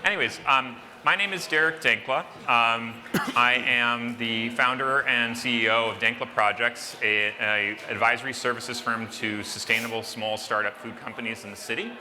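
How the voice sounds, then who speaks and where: Male moderator, loud and chatty, very bright tone, echoey hall. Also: mid-range voice, steady tone, moderate pace, few pauses. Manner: loud, chatty, self-deprecating. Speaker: panel moderator. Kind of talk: conference panel introduction.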